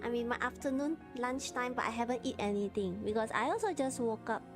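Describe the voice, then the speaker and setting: A woman with a Malaysian accent chatting casually and gigglily as she livestreams a game. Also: on the high side. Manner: giggly, clipped unhurried phrases. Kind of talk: casual chat while playing. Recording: game music behind voice.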